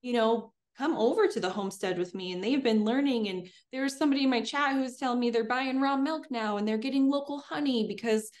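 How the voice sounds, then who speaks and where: A woman's voice: fairly high pitch, fast, few pauses. A young woman answering interview questions in an upbeat, fluent, chatty way, recorded indoors. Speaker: guest, homesteader and streamer. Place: indoors in front of a green screen.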